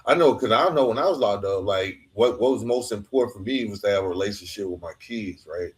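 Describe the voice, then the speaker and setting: A man's voice: fairly low pitch, a little fast, low-quality recording. A man hosting a conversational interview, reflective and informal, sharing his own anecdotes and often checking in with a tag question. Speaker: podcast host.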